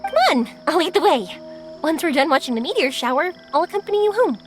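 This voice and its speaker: An actress playing a cheerful, friendly young woman in an anime-style video game, with a bubbly, animated manner. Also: sits high, fast talker, faint music behind. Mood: cheerful, playful.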